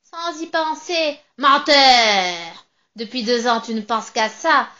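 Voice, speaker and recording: A woman voicing a small, nasty old witch with a shrill, sour voice and a sneering, mocking manner. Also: lively intonation, measured pace, bright, forceful tone, dull audio, exaggerated voice. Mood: gloating. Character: nasty old female witch in a broom cupboard.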